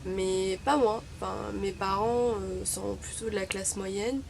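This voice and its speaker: Young woman from morocco, arabic first language, dry wry vlog chat, bit of vocal fry, faint hiss behind. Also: medium pitch, fairly even intonation, a little slow. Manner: wry, chatty, full of hesitations. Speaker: vlogger. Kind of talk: casual chat to camera.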